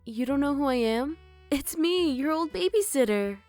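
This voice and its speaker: A woman's voice: high-pitched, lively intonation, normal speed, darkish tone, faint music behind. A woman playing a teasing former babysitter.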